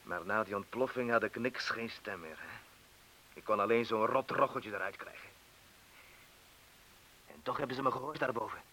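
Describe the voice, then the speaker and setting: A gruff former mineworker in a radio drama, low and forceful in voice. He is boastful yet fearful underneath, talks in rough colloquial bursts and prods with questions. A faint hiss lies over the old recording. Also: normal speed, pauses often, low-quality recording. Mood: brooding, reminiscing. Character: rough ex-miner, boastful yet fearful, confessing his cowardice. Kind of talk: radio play acting.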